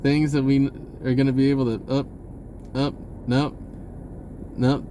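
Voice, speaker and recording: A man giving an unscripted personal message in a casual, halting, rambling way with short broken phrases. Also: mid-range voice, little pitch movement, dark tone, slight background noise.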